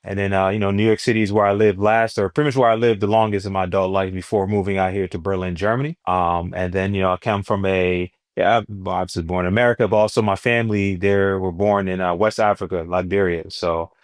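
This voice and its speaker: A young man from New Jersey with a Jersey accent, a stand-up comedian talking casually as an interview guest in a relaxed, slangy way. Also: deep voice, quick pace, few pauses, no echo, clean studio sound. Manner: laid-back, slangy, animated when telling stories.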